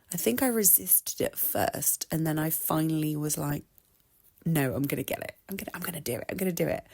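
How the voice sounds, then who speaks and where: A woman in her thirties with an English accent talks casually and at length, restarting her sentences as she goes. Her voice sits fairly low, creaks a little at the ends of phrases, and swings widely in pitch. She sounds slightly stuffed up from a cold. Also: a little mellow. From England. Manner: chatty, rambling, with many restarts and laughs. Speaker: podcast host. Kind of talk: casual solo podcast monologue.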